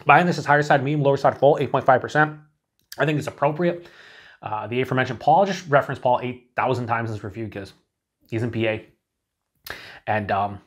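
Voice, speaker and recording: A man's voice: medium pitch, a bit bright. A man in his forties, chatting casually to camera as he reviews a beer, thinking aloud with lots of fillers and restarts, in a small indoor room.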